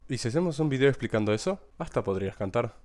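A man in a scripted comic scene, making quick, matter-of-fact suggestions. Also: medium pitch, animated, a little mellow.

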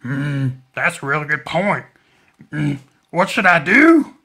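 Man doing a creaky, folksy crocodile puppet. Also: on the high side, big pitch swings, sharp tone, pitched above the performer's own voice, exaggerated voice. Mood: musing. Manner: hesitant, simple, amiable, drawn-out words. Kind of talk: children's puppet show.

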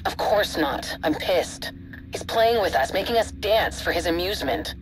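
A woman's voice: on the low side, lively intonation, moderate pace, faint music behind, natural voice, low-quality recording. A woman playing a driven, hard-edged agency commander in a video game drama. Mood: frustrated. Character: driven, frustrated female agency commander hunting a rogue.

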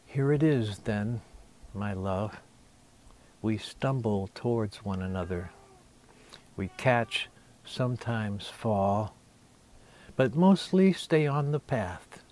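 A man in his sixties, a poet, reading his own verse intimately, in measured phrases with deliberate pauses. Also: on the low side, animated, a little mellow. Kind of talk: poetry reading, intimate recitation.

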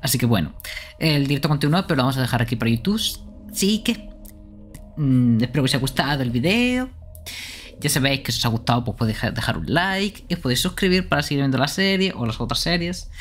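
A man's voice: medium pitch, sing-song, fast talker, a little hiss or hum. A man hosting a game livestream in casual chat. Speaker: streamer, host.

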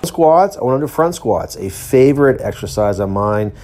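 A man's voice: mid-range voice, expressive, normal speed, over music. A middle-aged man giving a casual, plain-spoken voice-over of training footage, explaining as he goes. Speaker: athlete and training vlogger.

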